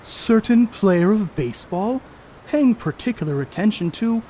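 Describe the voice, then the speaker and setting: Man acting a brash, high-voiced 1930s star ballplayer. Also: expressive, measured pace, mellow, a little hiss or hum, rough recording. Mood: sly, needling. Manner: cocky, needling, folksy slangy talk. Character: Babe Ruth, brash, boastful, crude, star ballplayer. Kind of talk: amateur stage play acting, 1930s period dialogue.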